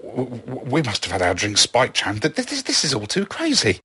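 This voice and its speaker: Man putting on a high, sceptical young woman. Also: wildly swooping pitch, fast talker, a bit bright, slightly rough, no echo, heavily acted. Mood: alarmed, flustered. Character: Alice, sceptical sharp-tongued young female student. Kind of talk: audiobook character voice.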